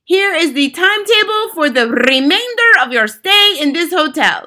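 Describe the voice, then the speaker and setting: Nasty bossy grand witch for an audiobook, loud and shrill, super high. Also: big pitch swings, moderate pace, full character voice. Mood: commanding. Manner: commanding, shrieking, theatrical. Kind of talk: audiobook character acting.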